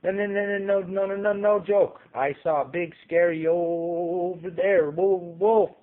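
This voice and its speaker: A frightened storybook town lady voiced by a man stammers and repeats words in a heightened pitch. The sound is thin and phone-like. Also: fairly even intonation, unhurried. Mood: terrified.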